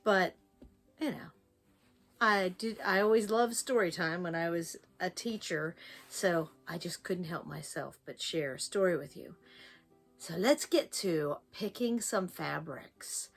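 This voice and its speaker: A woman's voice: fairly low pitch, measured pace, soft background music. An older woman with a US East Coast accent hosting a quilting tutorial, chatty and encouraging. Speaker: quilting channel host.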